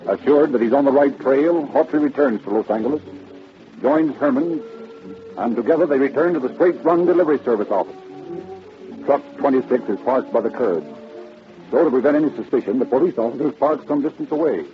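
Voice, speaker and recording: A man's voice: medium pitch, brisk. A man narrating a crime drama from a script in 1930s radio style. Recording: dull old radio recording with music.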